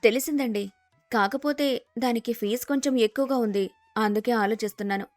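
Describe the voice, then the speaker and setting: Woman playing a clever young wife in a village story, highish voice, earnest and firm, soft music behind. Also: a little fast, no echo, lightly acted. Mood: calm. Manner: earnest, respectful but firm. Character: clever, determined young village daughter-in-law.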